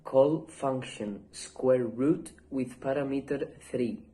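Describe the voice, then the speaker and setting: A man presenting a narrated software demonstration in a measured, deliberate manner. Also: mid-range voice, heavy background noise.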